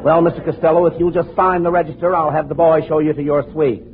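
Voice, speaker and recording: An actor playing a prissy, fussy hotel clerk in 1940s-style radio comedy. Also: male voice, a little high, level tone, fast, phone-quality sound, a crowd in the background, lightly acted. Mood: haughty. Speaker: supporting comic actor. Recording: noisy, band-limited old radio recording.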